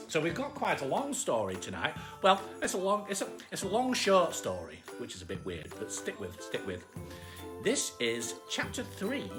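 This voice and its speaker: Woman puppeting a chatty little armadillo, animated swooping delivery. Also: low-pitched. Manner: chatty, exclaiming greetings, giggly. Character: chatty small armadillo called Archie, cheerful children's storyteller. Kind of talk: livestream chat and bedtime story reading.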